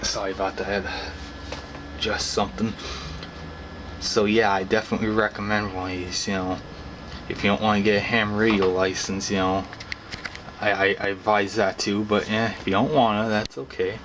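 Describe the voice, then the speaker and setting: A man's voice: on the low side, flat delivery, moderate pace. A young man talking casually to camera about his hobby in a rambling, informal, folksy way. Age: young adult. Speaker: hobbyist vlogger. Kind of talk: casual explanatory talk to camera. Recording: clearly noisy background ambience.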